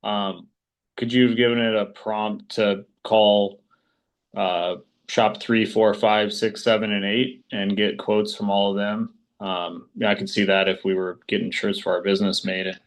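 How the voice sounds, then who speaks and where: A man's voice: lowish voice, little pitch movement, unhurried. A man taking part in a casual work conversation, asking questions and musing.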